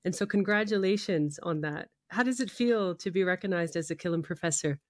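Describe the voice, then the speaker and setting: A woman's voice: on the low side, fairly quick, no echo, clean studio sound. A woman presenting and interviewing as a radio host.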